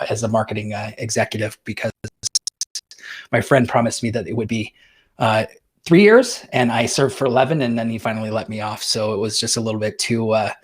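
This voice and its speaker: Rambling man with many fillers. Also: mid-range voice. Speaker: guest. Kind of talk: casual chat.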